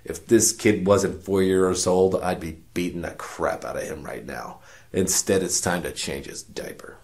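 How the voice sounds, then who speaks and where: A world-weary private eye acted by a man narrates a noir parody radio drama. The voice is low with a heavy creak, and the delivery is wry, deadpan and full of self-importance. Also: a little slow. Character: cynical world-weary hard-boiled male detective narrating his case.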